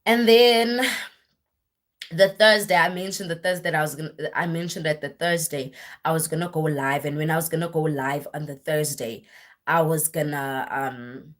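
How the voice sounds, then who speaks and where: A young woman with a husky voice, worn deeper than usual, chatting casually and at length on a live stream, with lots of fillers and restarts. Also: moderate pace. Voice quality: husky, deep voice. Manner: rambling, filler-heavy, self-correcting. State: voice worn and deeper after a weekend of church singing.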